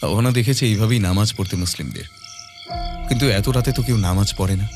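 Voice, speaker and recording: A man's voice: fairly low pitch, animated, a little fast, over music. A man narrating a scripted ghost story in a dramatic audio-story style.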